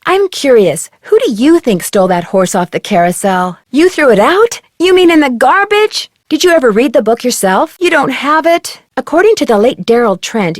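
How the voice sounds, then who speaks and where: Young female sleuth for a mystery game: higher pitch, dry studio sound, polite, inquisitive, earnest. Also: no room sound. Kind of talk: scripted game dialogue and narration.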